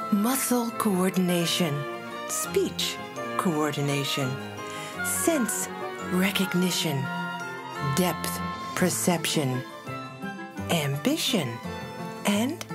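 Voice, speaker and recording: A woman playing a calm, doting mother in an audio drama, soothing and deliberate in manner. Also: lowish voice. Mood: patient explaining. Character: calm, doting mother of a teenage monster girl. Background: music.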